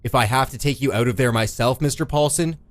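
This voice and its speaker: A man's voice: medium pitch, quick pace, close to an everyday voice. A man voicing a rigid police constable in an audiobook, barking commands in a stiff, mechanical manner. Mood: stern warning. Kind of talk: dramatised audiobook character.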